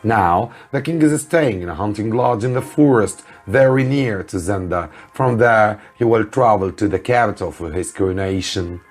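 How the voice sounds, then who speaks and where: A man who teaches English reads a story aloud as narration for learners, with careful, deliberate delivery. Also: on the low side, soft background music.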